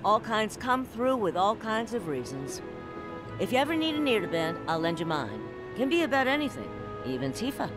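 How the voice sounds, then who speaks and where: An actress voicing a gruff, protective older landlady who talks bluntly with a folksy, dryly threatening edge. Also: mid-range voice, sing-song, moderate pace, lightly acted. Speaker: game character. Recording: game audio with music.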